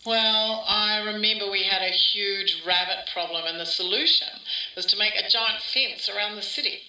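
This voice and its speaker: A woman's voice: mid-range voice, normal speed, sharp tone. A woman playing an older woman who rambles wistfully through childhood memories in a conversational, interview-style podcast drama. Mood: reminiscing. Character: wistful older Australian woman reminiscing about her small-town childhood. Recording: roomy echo, distant from mic.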